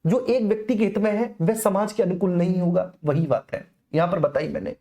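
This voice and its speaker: A woman's voice: on the low side, fairly quick, warm tone, muffled recording. A woman giving an informal exam-prep lecture as a coaching teacher, repeating key phrases for emphasis and often checking in with students.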